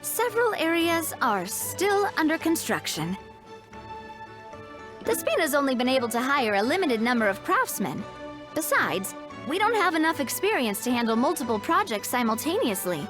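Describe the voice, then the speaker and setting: Upbeat young game heroine, reassuring partner type, high swoopy voice, bit of music behind. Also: female voice, moderate pace. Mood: explaining, brisk. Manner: breezy, reassuring, expressive. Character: young woman, upbeat, confident festival organizer and partner. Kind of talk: video game voice acting.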